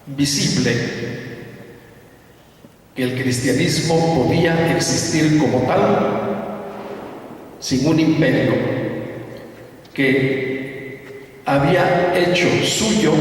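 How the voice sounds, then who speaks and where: A man with a Mexican accent giving a slow, deliberate academic lecture, asking rhetorical questions. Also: a little high, a little hiss or hum. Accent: Mexican Spanish. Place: lecture hall. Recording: echoey hall from far off.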